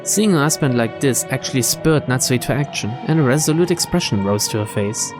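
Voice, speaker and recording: A man's voice: medium pitch, expressive, fairly quick. A man doing a game playthrough, reading visual novel narration aloud and adding his own asides. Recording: game music behind the voice.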